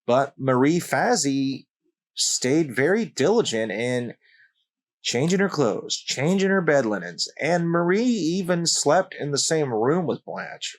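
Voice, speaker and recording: A man's voice: fairly high pitch, very animated, slow. Man casually recounting a true story. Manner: conversational, wry asides to the viewer. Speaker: channel host.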